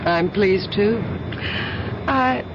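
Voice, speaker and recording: A woman's voice: mid-range voice, very slow. A woman playing a polite, friendly young airline passenger with a sociable manner in an old-time radio drama. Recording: muffled, noisy old radio broadcast.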